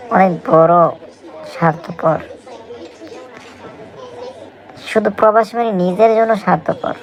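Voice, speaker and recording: A man acts out a weary migrant worker in a scripted cartoon. The voice is gravelly and earnest, lamenting life away from home. Faint chatter sits behind it. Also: high voice, lo-fi sound. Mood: bitter, earnest.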